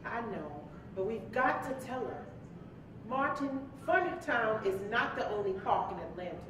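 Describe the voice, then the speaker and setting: An actress playing Coretta, a steady, firm wife and mother reasoning with her husband in a live stage play. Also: measured pace. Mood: calm, firm. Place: live stage before an audience. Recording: echoey hall, distant mic, noisy rumble.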